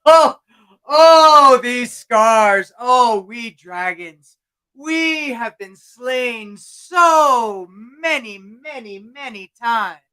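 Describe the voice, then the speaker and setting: A wise old dragon with a theatrical, booming voice, as a woman puts it on. It draws its words out slowly in a high, bright tone. It swells into loud cries in the manner of a storybook telling. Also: expressive, clear, resonant tone, full character voice, poor audio. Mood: dramatic. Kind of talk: storytelling performance.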